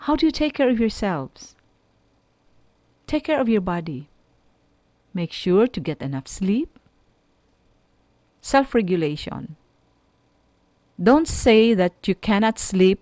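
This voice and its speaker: A woman with a Filipino accent giving an instructional radio lesson to students in short, deliberate, encouraging phrases. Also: lowish voice, darkish tone, dry recording. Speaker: radio teacher.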